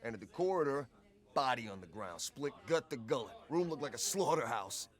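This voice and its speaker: An actor playing a cocky soldier who tells war stories casually in clipped, wry military slang for a video game. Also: male voice, mid-range voice, expressive, a little fast, natural voice. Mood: tense recounting. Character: cocky male soldier swapping war stories with his squadmate. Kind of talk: casual in-character storytelling.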